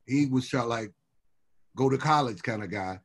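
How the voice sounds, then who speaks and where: A man's voice: medium pitch, moderate pace, slightly rough. Older man telling stories on a video call. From Birmingham, Alabama. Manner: easygoing, rambling storyteller with lots of "you know". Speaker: guest. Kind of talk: casual interview storytelling. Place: home room with wood shutters and framed pictures.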